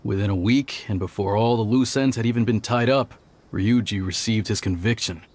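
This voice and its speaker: An actor playing an older police officer in a video game, measured and sceptical as he recounts and admonishes. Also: on the low side, darkish tone, lightly acted. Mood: reflective. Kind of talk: video game voice acting, storytelling dialogue.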